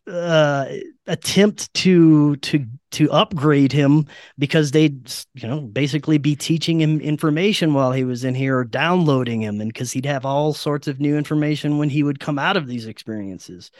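A man with a slightly high voice talks as a podcast guest in a dry, close recording. He tells his accounts in a casual, earnest way and swears freely. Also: no echo. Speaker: podcast guest, paranormal researcher and interviewer. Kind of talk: casual conversational storytelling.

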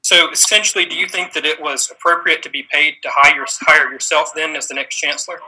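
A man's voice: a little high, steady tone, brisk, background noise. A man working as a newspaper reporter, putting direct, probing questions on a press call.

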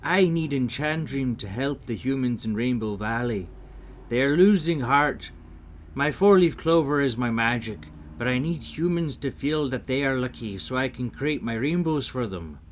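A man's voice: mid-range voice, animated, normal speed, slight background noise, voice pushed up, close to an everyday voice. Man playing a courteous, merry little leprechaun. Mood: concerned, pleading. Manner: exclamatory, courteous storybook speech. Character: merry, polite little male leprechaun with magic.

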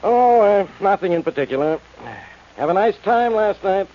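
An actor playing a suspicious police inspector in an old-time radio crime drama. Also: male voice, sits high, expressive, moderate pace. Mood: sly probing. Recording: dull, noisy old radio broadcast.

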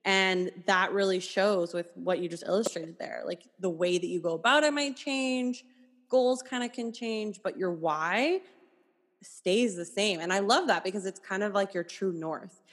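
A woman's voice: medium pitch, measured pace, big-room sound. A woman hosting a podcast, responding in an affirming, reflective way and asking her guest questions. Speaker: podcast host. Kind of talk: casual podcast interview.

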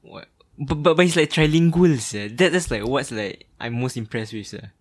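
A young man co-hosts a casual podcast in a mid-pitched voice. He talks loosely and haltingly, starting over often as he chats. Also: lively intonation. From Singapore. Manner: halting and loose, with many restarts. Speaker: podcast co-host. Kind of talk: casual interview chat.

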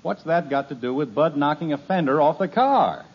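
A man's voice: fairly high pitch, expressive, normal speed, husky. A dry-witted, patient sitcom father. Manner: dry, weary sarcasm. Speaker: actor in a radio sitcom. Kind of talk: 1950s radio acting. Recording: dull old radio transcription.

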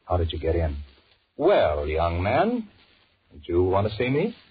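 Very deep-voiced panicky businessman. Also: huge pitch swings, a little slow, full character voice. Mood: startled, anxious. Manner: stammering aloud, muttering anxious repeated thoughts. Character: panicky married businessman, blustering and cowardly, secretly scheming. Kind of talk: 1940s radio horror acting with inner monologue. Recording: muffled, noisy 1940s radio recording.

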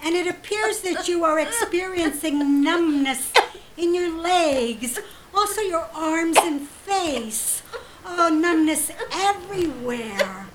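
High, slow-spoken genteel woman, coolly devious. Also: expressive, a little hiss or hum, heavily acted, poor audio. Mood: mock concern. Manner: hesitant, polite, drawn-out delivery. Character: genteel, coolly devious woman feigning sweet innocence with a friend. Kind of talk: audio drama acting.

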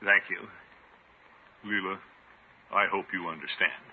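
An actor playing a pompous but easily flustered, sentimental middle-aged American bachelor, blustering and chuckling in a 1950s radio comedy. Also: male voice, low voice, fairly even intonation, unhurried. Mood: pleased, awkward. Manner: blustering, chuckling, swooping exclamations. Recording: tinny, noisy old radio recording.

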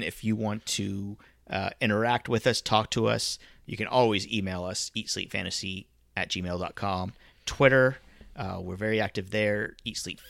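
A man's voice: fairly low pitch, creaky, a bit bright, dry recording. A man co-hosting a casual sports podcast in a laid-back way, laughing easily as he reads through lists of names. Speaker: podcast co-host.

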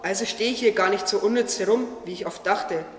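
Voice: mid-range voice, brisk, slight background noise, close to an everyday voice. An actor playing a naive, curious talking tree in a religious radio play, earnest and questioning. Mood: admiring. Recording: echoey, distant, long reverb, music behind.

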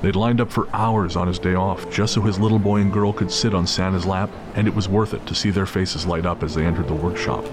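Very deep-voiced male dramatic narrator. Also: steady tone, brisk, hardly any pauses. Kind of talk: scripted dramatic story narration. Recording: clear background noise of city ambience.

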